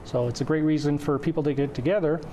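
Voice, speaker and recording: A man's voice: fairly high pitch, fairly even intonation, fast, dark tone, a little hiss or hum. A man in his forties from western Canada whose first language is Croatian, speaking as an event organizer in an informal TV interview. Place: indoor TV studio. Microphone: lapel mic.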